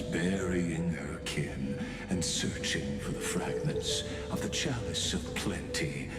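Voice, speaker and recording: A man playing a grave, ominous narrator of a tragic legend in a fantasy game, measured and foreboding, with an echoing voice. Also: low-pitched, full character voice. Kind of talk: solemn dramatic game narration. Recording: echoey long reverb, loud music.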